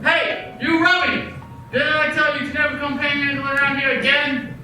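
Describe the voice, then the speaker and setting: A man playing a gruff, barking bartender. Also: very high-pitched, little pitch movement, moderate pace. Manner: barking, brusque tough-guy talk. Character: gruff male bartender, rough and hostile. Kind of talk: amateur radio play acting. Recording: echoey room, far off, music behind.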